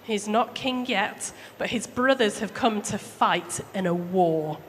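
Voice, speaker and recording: A woman's voice: medium pitch, takes it slowly. A woman telling a story to children in a chatty, simple, interactive way. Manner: chatty, asks listeners questions, addresses children simply. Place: church hall during a service. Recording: echoey hall with background noise.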